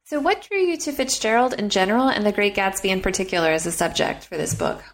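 A woman's voice: mid-range voice, fast. A woman hosting a podcast, reading a polished introduction and asking interview questions.

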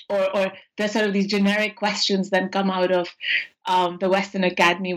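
A woman from Pakistan, an anthropology lecturer, answering interview questions thoughtfully with frequent pauses and ums. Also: medium pitch, poor audio. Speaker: academic guest, anthropologist. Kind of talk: academic interview conversation.

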